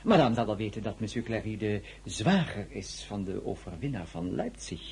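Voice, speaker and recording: An actor in a radio drama playing a middle-aged, deferential shop clerk, chatty and hesitant, with flustered stammers. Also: male voice, on the low side, lively intonation, moderate pace, darkish tone, slight background noise. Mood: evasive, defensive.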